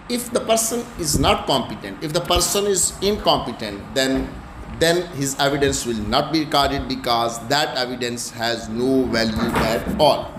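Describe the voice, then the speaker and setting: A man with a Pakistani accent gives an explanatory law lecture, emphatically repeating his key phrases. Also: mid-range voice. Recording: echoey room with faint background noise.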